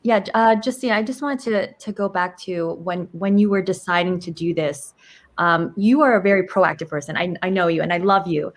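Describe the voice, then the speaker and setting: A woman with a slightly low voice is co-hosting a talk show. She interviews her guest conversationally, leading into her questions with some background. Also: normal speed.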